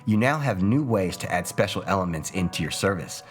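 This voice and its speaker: Man, presenter, scripted promotional narration, quick pace, lowish pitch. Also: lively intonation.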